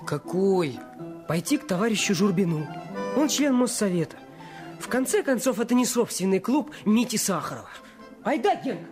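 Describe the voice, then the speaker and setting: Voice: medium pitch, very animated, moderate pace, music in the background, close to an everyday voice. A performer playing an eager, easily flustered schoolboy in a Russian radio play, speaking with urgency. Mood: determined.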